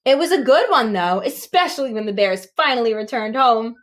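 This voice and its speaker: A brisk scout leader from a storybook, voiced by a woman reading aloud, talks in a high voice. The manner is commanding. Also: expressive, close to an everyday voice. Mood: weary. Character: scout leader, weary yet bossy and urgent.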